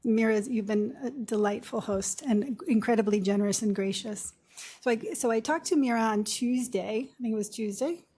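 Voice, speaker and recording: A woman in her fifties with a low voice, giving a conversational seminar talk as a nurse practitioner with storytelling asides. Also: moderate pace, warm tone, breathy edge. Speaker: guest speaker, nurse practitioner. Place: lecture room.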